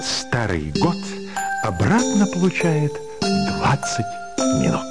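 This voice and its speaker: A man's voice: mid-range voice, wildly swooping pitch, slow, over loud music. A man narrating a scripted story in a theatrical radio style.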